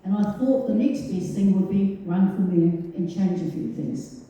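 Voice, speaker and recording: A woman's voice: medium pitch, normal speed, dark tone, slight background noise. Plain-spoken woman from New Zealand, echoey hall. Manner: plain-spoken, hesitant, many fillers. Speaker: mayoral candidate. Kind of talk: answering at a public forum. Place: town hall. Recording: dull, echoey hall from far off.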